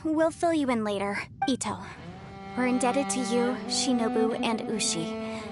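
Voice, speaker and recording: A woman's voice: fairly high pitch, unhurried, close to an everyday voice. A woman playing an earnest, polite young professional in an anime video game, sincere and courteous. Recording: music behind the voice.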